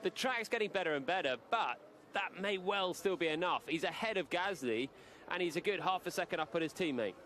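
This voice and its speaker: High-voiced male racing co-commentator. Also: lively intonation, a little fast, a bit bright, slight background noise. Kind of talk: live race commentary with opinions. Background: racing car engines.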